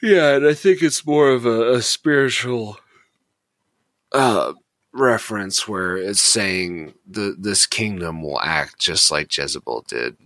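A man's voice: lowish voice, expressive, slow, fairly bright tone, no echo. A man co-hosting a casual Bible study podcast, explaining at length with frequent restarts. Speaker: podcast co-host.

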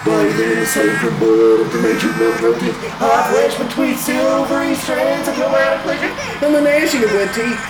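A woman's voice: medium pitch, fairly even intonation, moderate pace, few pauses, natural voice. A woman playing an ecstatic cult preacher in a comedic radio play, chanting with grandiose fervour. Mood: ecstatic zeal. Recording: distant echo, loud music and crowd.

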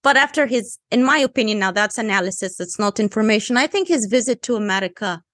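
A woman's voice: medium pitch, animated, quick pace, fairly bright tone, no echo, studio quality. A woman with an Egyptian Arabic accent, a native Arabic speaker, speaking as an analyst on a discussion panel in an emphatic, insistent way. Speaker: guest analyst.

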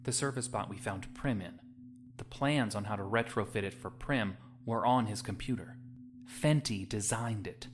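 A man's voice: on the low side, moderate pace, slight background noise, natural voice. A man playing a wary, protective young hero in a sci-fi audio drama, casual and skeptical.

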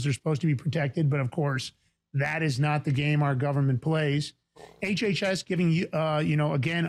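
A man from the Bronx with a New York accent, hosting a talk show in a fast, emphatic, indignant way. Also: on the high side. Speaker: podcast host.

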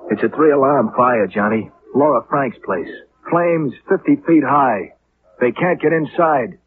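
A man's voice: mid-range voice, noisy background. An actor playing a cynical, lovelorn American newspaperman who drinks, drawling wryly in a 1950s radio drama. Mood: urgent. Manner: drawling, wry, world-weary. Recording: muffled 1950s radio recording, music behind.

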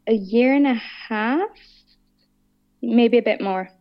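A woman's voice: fairly high pitch, slow. Young woman guest, slightly dull recording. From Austria and Ireland. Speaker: podcast guest. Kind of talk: casual interview talk.

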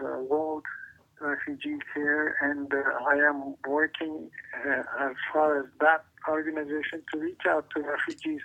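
Earnest pastor. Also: male voice, on the high side, sharp tone. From Iraq. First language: Arabic. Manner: earnest, with many pauses and restarts. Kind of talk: interview answers and closing prayer. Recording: dull lo-fi audio.

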